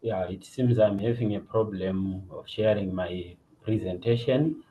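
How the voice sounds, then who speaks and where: A man's voice: on the low side, a little slow, mellow, highs cut off, slight background noise. A middle-aged man with a Zimbabwean accent, formally reading a presentation as a police officer over an online call. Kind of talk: formal presentation read from a paper. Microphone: video call.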